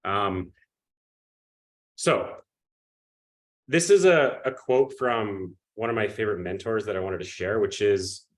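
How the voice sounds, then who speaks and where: A man with a cold, sniffling and coughing, giving an energetic live webinar pitch and often checking in with his audience. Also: slow pace, pauses often. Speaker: webinar presenter.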